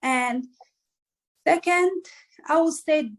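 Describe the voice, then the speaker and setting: Woman from east africa, high-ish voice, earnest motivational talk, lots of "like"s. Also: extremely slow. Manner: earnest, motivational, frequent "like" fillers. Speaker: musician guest. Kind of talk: casual interview answers.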